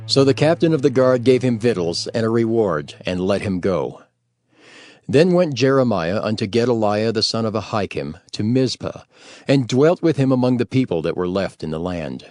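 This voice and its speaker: A man's voice: lowish voice, lively intonation, normal speed, darkish tone. A man narrating scripture from a script as an audio Bible reader, formal and solemn.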